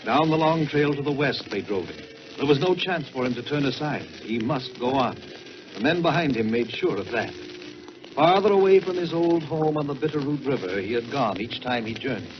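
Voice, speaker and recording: An American man narrating in a dramatic 1940s radio style, with measured, theatrical delivery and drawn-out words. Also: mid-range voice, expressive. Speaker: storyteller and radio host. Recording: dull vintage 1940s radio, music behind.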